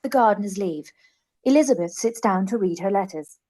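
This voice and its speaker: A woman's voice: mid-range voice, lively intonation, a little fast, warm tone. A woman reading scripted stage directions as the narrator of a radio play.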